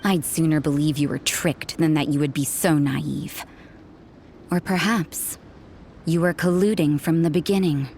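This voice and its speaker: A woman's voice: deep voice, fairly even intonation, a little slow, darkish tone, slight background noise. An actress playing a stern, suspicious young woman who speaks in a cool, clipped, distrustful way. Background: game music.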